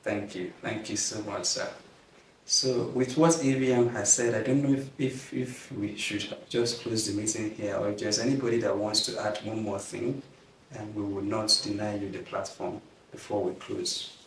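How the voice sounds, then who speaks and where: A man's voice: lowish voice, fairly even intonation. A man in his thirties leading an online governance class, speaking earnestly and stretching words out for emphasis. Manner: earnest, drawn-out emphasis, gracious thanks. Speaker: class instructor. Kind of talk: online class leadership teaching. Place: plain indoor studio backdrop. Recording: echoey, somewhat distant mic, faint noise.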